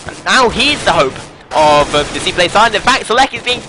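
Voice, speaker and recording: A man's voice: sits high, expressive, moderate pace, music in the background, rough recording. A young British man casting a live esports match, upbeat and rambling, joking between rounds on a headset mic. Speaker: esports caster. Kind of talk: live game commentary.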